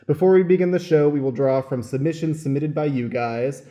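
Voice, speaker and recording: A man's voice: on the high side, a little fast, warm tone. A man hosting a podcast as stage manager, explaining how the show works. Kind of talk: podcast introduction, explaining format.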